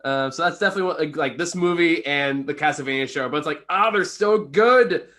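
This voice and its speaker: A man's voice: a little high, brisk, sharp tone. Casual, rambling man chatting about movies. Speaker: movie reviewer, co-host. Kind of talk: casual unscripted chat.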